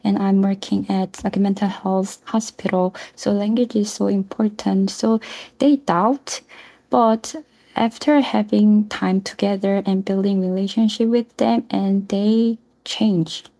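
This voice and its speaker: A young woman from South Korea with a Korean accent, a non-native speaker answering interview questions earnestly in halting phrases. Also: medium pitch, steady tone, warm tone. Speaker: interview guest, mental health nurse and researcher.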